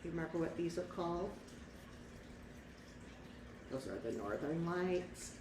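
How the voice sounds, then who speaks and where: A woman reading a picture book aloud to young children, pausing to explain things simply and ask them questions. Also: on the low side, animated. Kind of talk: storybook read-aloud with asides to young children. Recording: echoey room, distant mic, faint noise.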